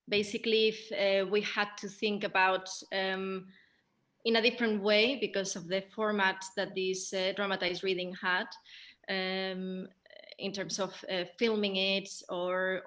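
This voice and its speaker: Bright-voiced Spanish-accented woman, fairly even pitch. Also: a little slow. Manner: hesitant, thinking aloud. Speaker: theatre director. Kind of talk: post-show panel discussion.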